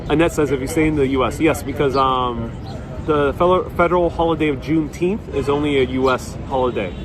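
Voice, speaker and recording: A man from a Puerto Rican family, whose first language is Spanish, chats casually as a live-stream host, reading viewer comments aloud and answering them in a relaxed way. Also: medium pitch, a little fast, a crowd in the background. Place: outdoors on a city street. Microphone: wireless clip-on mic. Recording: city street noise behind.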